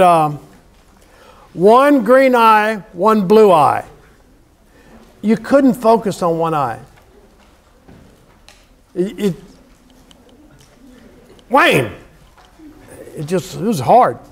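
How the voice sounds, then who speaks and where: Folksy, high-pitched Southern US man lecturing. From South Louisiana, USA. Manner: folksy, joking, exclamatory, teasing the students. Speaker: university lecturer. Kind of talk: informal classroom lecture banter. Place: university classroom.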